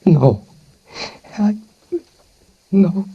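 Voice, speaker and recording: Timid old tailor dad, raspy and gravelly, halting, old radio show. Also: male voice, sits high, lively intonation, muffled recording, exaggerated voice. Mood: timid worried. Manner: hesitant, halting, apologetic. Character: shy, humble older male tailor, worried father. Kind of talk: 1940s radio acting. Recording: old radio broadcast recording.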